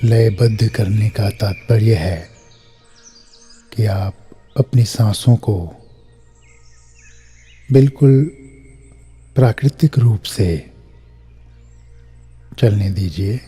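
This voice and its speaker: A man guiding a scripted meditation in a measured way, pausing between short phrases. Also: lowish voice, mellow, breathy edge, soft background music. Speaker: meditation guide. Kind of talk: scripted guided meditation, instructional narration.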